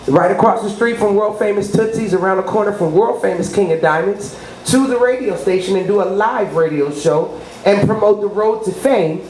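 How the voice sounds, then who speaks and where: A woman's voice: medium pitch, a little mellow. Emphatic woman promoter in an echoey room. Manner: emphatic, boastful, frequent rhetorical check-ins. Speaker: radio personality and music promoter, interview guest. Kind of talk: promotional interview talk. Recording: roomy echo with clear background noise.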